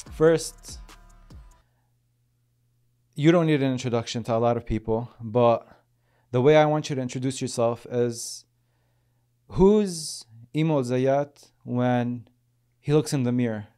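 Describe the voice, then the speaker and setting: A young man hosting a podcast, asking calm, open questions and adding short affirmations. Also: mid-range voice, slow pace, long pauses, smooth, faint music behind. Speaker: podcast host. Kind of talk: casual interview hosting. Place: small podcast studio indoors. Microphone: boom-arm studio mic.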